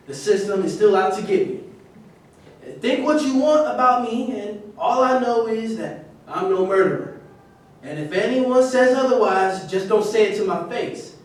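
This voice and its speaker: Casting: male actor as aggrieved former sports star, high voice, curt and defensive, stage monologue in a very echoey room. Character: aggrieved, bitter ex-athlete out of jail. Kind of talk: theatrical monologue, staged reading. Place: live staged reading in a roomy space. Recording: very echoey room, distant mic, faint noise.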